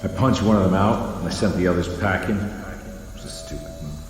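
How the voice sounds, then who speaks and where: An actor playing a haunted, guilt-ridden private detective in a horror game, with a brooding noir delivery. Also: male voice, deep voice, over music, natural voice. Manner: brooding noir narration with halting confessions. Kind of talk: scripted game voice acting.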